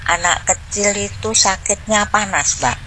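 A woman's voice: medium pitch, measured pace. A woman, chatting casually as a phone-in caller on a radio show. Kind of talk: casual chat. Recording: phone call with faint noise.

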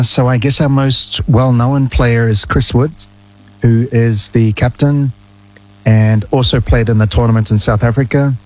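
A man, a guest ambassador giving measured, friendly answers in a radio studio interview. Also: mid-range voice, darkish tone, poor audio. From New Zealand. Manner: measured, friendly, explains at length.

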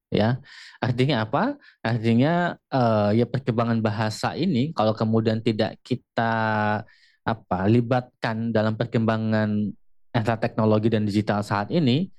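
A man's voice: lowish voice, darkish tone. Indonesian man from explaining steadily. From Lampung, Indonesia. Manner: explanatory, frequent filler "gitu" and "ya". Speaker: guest speaker on regional language. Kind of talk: radio talk show conversation.

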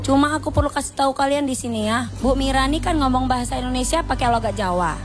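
A woman's voice: sits high, natural voice. A woman playing an outspoken Batak student. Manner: outspoken, emphatic. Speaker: college student.

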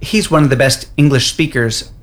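A man from Canada with a Canadian accent, answering interview questions about learning English in a relaxed, encouraging way. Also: medium pitch, normal speed. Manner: relaxed, encouraging, fillers like "you know". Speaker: English school head.

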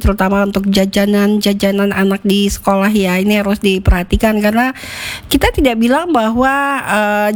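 Woman, mid-pitched voice, radio host, casual chat, talks straight to listeners. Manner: chatty, addresses listeners often.

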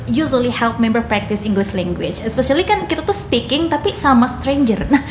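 A woman's voice: fairly high pitch, fast, reverberant, over music. A woman with an Indonesian accent hosting a casual interview, mixing English and Indonesian and chiming in often. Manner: lively, chimes in with frequent backchannels. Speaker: podcast host.

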